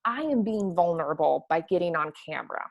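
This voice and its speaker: A woman's voice: fairly low pitch, creaky, lo-fi sound. A woman with a Midwestern American accent, chatting energetically as a podcast guest. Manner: energetic, chatty, quick to laugh. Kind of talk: casual conversation.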